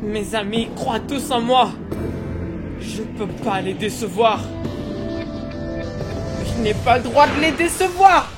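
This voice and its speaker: A performer plays a teenage boy hero from an anime fan dub. He sounds earnest and hesitant, anxious yet determined, as if thinking aloud. Loud music runs under the voice. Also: mid-range voice, slow pace, pauses often, natural voice. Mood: anxious, resolute. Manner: earnest, hesitant, inward monologue.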